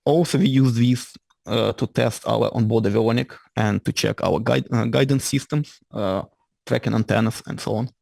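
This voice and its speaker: A man with a Ukrainian-Scottish accent giving a lecture as an invited engineer. He speaks in halting, slightly ungrammatical English and often restarts his sentences. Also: mid-range voice, creaky, a little mellow, no echo. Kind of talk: lecture presentation. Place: lecture room with audience.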